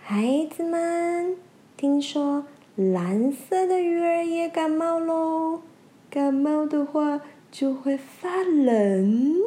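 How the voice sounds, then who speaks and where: A woman voicing a scheming lantern fish villain in a children's story, talking slowly and drawing out her words. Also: extremely high pitch, warm tone, voice pushed up, exaggerated voice. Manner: slow, drawn-out, gloating.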